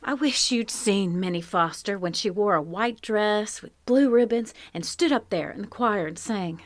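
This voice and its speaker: An actress playing a remorseful, plainspoken farm wife in a radio play, speaking earnestly and often sinking to a hushed whisper, recorded in a home closet. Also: female voice, mid-range voice, moderate pace, dry recording, close to an everyday voice. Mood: anguished. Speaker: Mrs. Hale, farm wife. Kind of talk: live radio play acting.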